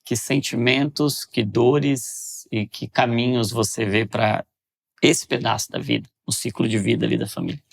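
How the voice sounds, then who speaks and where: Gravelly man hosting a faith podcast. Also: medium pitch, fairly even intonation. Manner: reflective, speeds up and slows down, frequent restarts. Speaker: podcast host.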